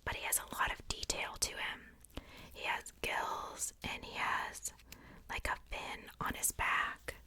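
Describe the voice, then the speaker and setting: A woman's voice: measured pace, pushed and bright. A young adult woman hosting ASMR, whispering casually in a rambling, informal show-and-tell with self-joking asides. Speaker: ASMR video host.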